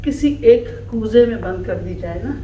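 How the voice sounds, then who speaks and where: A woman whose first language is Urdu delivers an emphatic, affectionate farewell tribute at an easy, deliberate pace. She is recorded from across an echoey office room with faint background noise. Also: medium pitch, far from the mic. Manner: slow, warm, emphatic, laughing between phrases. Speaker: speaker at a farewell gathering. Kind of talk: heartfelt tribute speech.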